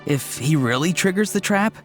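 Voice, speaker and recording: An actor playing an earnest, odd young tribesman in a video game, deadpan and matter-of-fact. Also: fairly high pitch, normal speed, faint music behind, close to an everyday voice.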